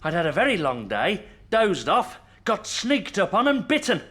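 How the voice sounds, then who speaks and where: Middle aged british wizard dad, genial, curious, highish lively voice. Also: male voice, moderate pace, full character voice. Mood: matter-of-fact. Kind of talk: video game cutscene acting.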